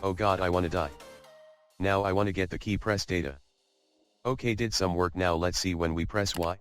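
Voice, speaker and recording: A man's voice: deep voice, fairly even intonation, normal speed, over music. A man narrating a scripted comedic coding video in a jokey, mock-boastful way.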